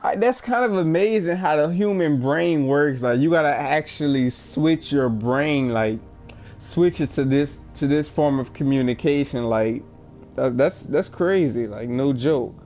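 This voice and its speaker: A man's voice: on the high side, measured pace, a little mellow, faint music behind. Rambling man chatting on a livestream. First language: English. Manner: rambling, lots of "like" fillers. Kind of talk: casual chat while gaming.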